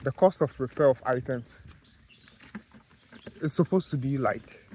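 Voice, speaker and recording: A man from Ghana with a Ghanaian accent, talking casually to camera in a vlog, halting with many fillers and restarts. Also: mid-range voice, darkish tone, a little hiss or hum. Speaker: vlogger, car detailer.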